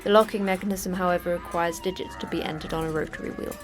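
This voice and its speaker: An actress playing the terse, dry manager of a secret prison for monsters in a sci-fi horror audio drama, speaking in a clipped, matter-of-fact way. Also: female voice, lowish voice, huge pitch swings, quick pace, soft background music. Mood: dry, instructive.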